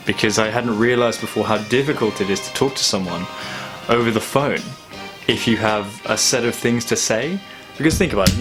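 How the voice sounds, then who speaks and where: Young man, low voice, reflective vlog chat, halting thoughtful delivery, clear background guitar music. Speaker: vlogger. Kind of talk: casual reflective vlog monologue.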